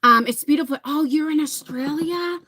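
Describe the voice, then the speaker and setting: A woman's voice: high-pitched, normal speed, bright, forceful tone. A woman in her forties from New Jersey, chatting casually on a live stream, reading viewer comments and talking to them by name. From New Jersey, USA. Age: 40s. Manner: chatty, laughs often, talks straight to viewers by name. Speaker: livestream host.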